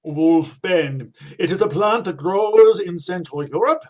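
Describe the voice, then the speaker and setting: A grave, slow-spoken professor. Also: male voice, high voice, animated, a little mellow. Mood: grave warning. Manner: measured, ominous. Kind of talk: radio play acting.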